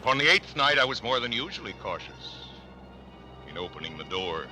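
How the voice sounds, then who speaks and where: An actor playing a nervous, cunning, mad murderer confessing in a theatrical horror monologue from a classic radio play, swinging between loud declaiming and hushed whispers. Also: male voice, big pitch swings, brisk, faint music behind. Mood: hushed, tense. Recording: noisy old radio recording, vehicle rumble. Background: vehicle noise from a driving game.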